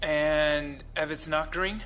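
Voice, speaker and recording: A man's voice: a little high, takes it slowly, slight background noise. A man playing a naive, nervous young rookie gunner in an audio drama. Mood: panicky. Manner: nervous, excitable, hesitant.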